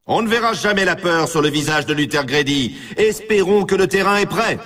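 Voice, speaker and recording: A man playing an excited, upbeat snowboard race announcer in a video game, with showman commentary and a husky voice. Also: high-pitched, brisk, recorded from a distance. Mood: excited, anticipating.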